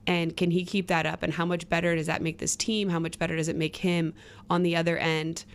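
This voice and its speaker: Low-pitched woman discussing sports. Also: flat delivery, a little fast. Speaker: sports reporter and panelist. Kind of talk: casual sports analysis. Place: studio roundtable.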